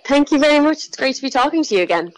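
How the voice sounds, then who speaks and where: A woman's voice: sits high, expressive, racing, low-quality recording. A young Irish woman, a children's TV presenter, talking as a guest in a radio interview, chatty with many fillers and restarts. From Ireland.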